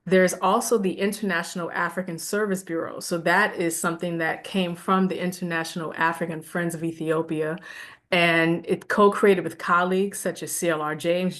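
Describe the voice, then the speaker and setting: Woman giving a lively spoken lecture. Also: on the low side. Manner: conversational, animated, laughs now and then. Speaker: lecturer teaching a class.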